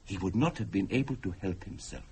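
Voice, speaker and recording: An actor playing a formal, courteous mystic who speaks deliberately and eerily in a dramatic radio thriller. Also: male voice, low-pitched, darkish tone, breathy edge, full character voice. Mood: measured, courteous. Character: calm, eerie male mystic telling of curses and spirits.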